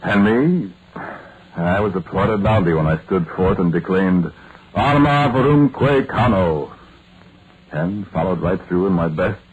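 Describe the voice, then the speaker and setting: Deep-voiced solemn radio storyteller. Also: male voice. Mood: wistful, stirring. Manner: measured, deliberate, pausing mid-sentence. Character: modern soldier narrator, solemn, haunted storyteller. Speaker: narrator and lead character. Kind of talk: dramatic first-person radio storytelling. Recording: tinny, noisy vintage radio recording.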